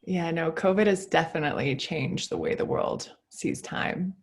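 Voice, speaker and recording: A woman's voice: sits low, normal speed. A woman interviewer reading out formal, prepared questions.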